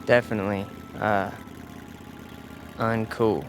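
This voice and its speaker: Radio drama: awkward young newcomer, unhurried halting delivery, stilted hipster slang, light music bed. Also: male voice, lowish voice, steady tone. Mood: awkward, puzzled. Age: young adult. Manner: halting, stilted, swinging from professorial wording to beatnik slang. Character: awkward young leather-jacketed newcomer, stiffly faking hip slang. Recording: music bed and engine sounds behind.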